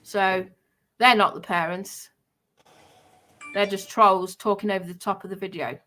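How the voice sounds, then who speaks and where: Female, bright forceful voice at medium pitch, casual explanatory chat, halting, with repeated phrases. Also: fairly even intonation.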